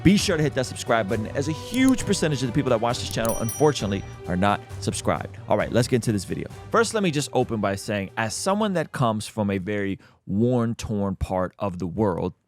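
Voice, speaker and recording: A man's voice: fairly low pitch, sing-song, fairly quick, hardly any pauses, faint music behind. A man from Azerbaijan hosting his own channel, giving earnest, emphatic commentary straight to his viewers. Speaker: YouTube host. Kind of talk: faith-based commentary on current events.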